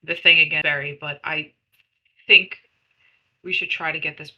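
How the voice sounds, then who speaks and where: A woman's voice: deep voice, steady tone, normal speed, sharp tone, dull audio, slight background noise. A blunt, sweary woman chatting in character as a player in a tabletop game. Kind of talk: casual in-character game chat.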